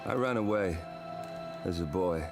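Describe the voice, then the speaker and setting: Deep, brooding man mastermind. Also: unhurried, over music. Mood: haunted, solemn. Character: grave, brooding male leader of a shadowy operation. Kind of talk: scripted video game cut-scene monologue.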